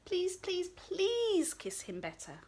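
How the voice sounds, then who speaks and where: A woman's voice: very high-pitched, wildly swooping pitch, slow, darkish tone, pitched above the performer's own voice, full character voice. A woman voicing a hungry, polite male wolf with a formal, courteous way of speaking. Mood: courtly, imploring.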